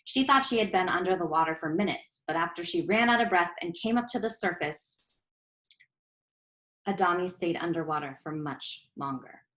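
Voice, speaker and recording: A woman reading a children's picture book aloud as a storyteller, expressive and clearly phrased. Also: fairly low pitch, moderate pace, poor audio.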